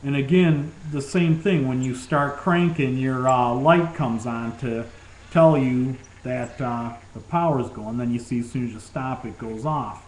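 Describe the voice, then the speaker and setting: A man's voice: mid-range voice, unhurried, a little hiss or hum. A man giving an informal, enthusiastic product demonstration as a presenter. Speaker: presenter demonstrating survival gear. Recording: roomy, echoing sound.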